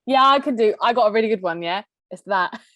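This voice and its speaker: A woman's voice: mid-range voice, fast talker, fairly bright tone, slight background noise. A woman co-hosting a podcast, half Greek and half English with Greek as her first language, bluntly reading out messages, laughing often and swearing. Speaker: podcast co-host. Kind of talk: casual chat reading out messages.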